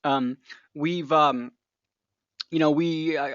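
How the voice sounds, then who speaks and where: A man hosting a webinar in a casual, unscripted way, speaking haltingly and often breaking off his sentences. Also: fairly high pitch, steady tone, clear, resonant tone, dry recording. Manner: hesitant, halting delivery with broken-off sentences. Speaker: webinar host. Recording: dull, lo-fi webinar audio.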